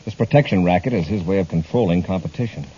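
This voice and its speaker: Actor as a low-voiced heroic space commander. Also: male voice, fast, mellow, close to an everyday voice. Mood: calm, watchful. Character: steady, watchful heroic male space patrol commander. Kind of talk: 1950s radio drama acting. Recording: dull, hissy old radio recording.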